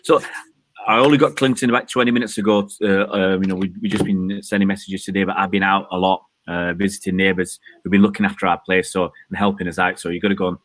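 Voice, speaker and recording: A man's voice: low-pitched, steady tone, fast talker. A man hosting a casual online chat, talking in a rambling, joking way with many fillers from an outdoor balcony. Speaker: host.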